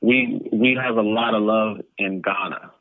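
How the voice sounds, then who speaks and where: A man's voice: lowish voice, steady tone, unhurried, no echo. A man giving casual, conversational answers as an interview guest over a remote call. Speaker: interview guest, comic book creator. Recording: narrow, tinny phone-like line.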